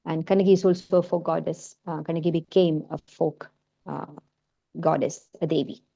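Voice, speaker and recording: A woman's voice: low voice, steady tone, moderate pace, gravelly, dark tone, lo-fi sound. A woman, a Malayalam speaker with a mixed Indian and American accent, presenting her film work in a hesitant talk full of fillers and restarts. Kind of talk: informal talk in an online session.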